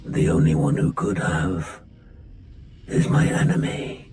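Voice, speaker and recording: An actor playing a brooding, menacing warlord in a game cutscene, gravelly and slow, speaking in grandiose, ominous phrases. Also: male voice, low-pitched, big pitch swings, background noise, heavily acted. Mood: brooding menace. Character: brooding dark warlord, menacing male villain musing on failure. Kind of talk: dramatic video game cutscene acting.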